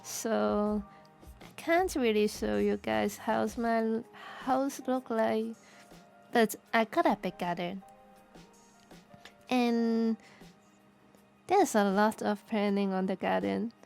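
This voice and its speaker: A woman from Hong Kong with a Cantonese accent, chatting casually on stream, halting and restarting as she searches for words. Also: on the high side, gravelly, darkish tone, soft background music. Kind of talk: casual chat while drawing.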